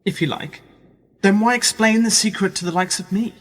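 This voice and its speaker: Male actor as sardonic, disgraced newspaperman for radio drama; proud, probing, slightly high-pitched, in a reverberant space. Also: normal speed, a bit bright. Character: wry, sceptical disgraced male newspaper journalist, wounded pride. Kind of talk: radio play acting. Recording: reverberant hall-like echo.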